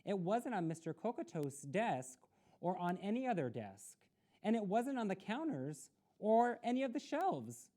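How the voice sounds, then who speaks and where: A woman's voice: medium pitch, sing-song, measured pace, warm tone, voice pushed up. A woman voicing a shy, polite and thoughtful young schoolgirl in a picture book. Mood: puzzled.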